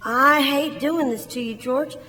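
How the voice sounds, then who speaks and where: A worldly, wistful woman played by an actress speaks in a somewhat high voice. The delivery is radio drama acting, carried on the long reverb of a hall. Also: unhurried, pushed and bright. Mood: wry, wistful. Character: worldly, wistful small-town woman leaving for the city. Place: large church hall.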